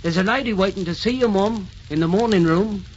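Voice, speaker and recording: An actress as a subdued, deferential servant. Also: female voice, mid-range voice, a little fast, a little mellow, lightly acted. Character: deferential household servant woman, polite, plain. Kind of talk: old-time radio drama acting. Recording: dull old radio recording, faint noise.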